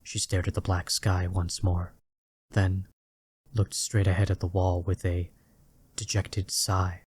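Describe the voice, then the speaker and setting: Low-voiced man narrating a dramatic story. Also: flat delivery, takes it slowly, slight background noise.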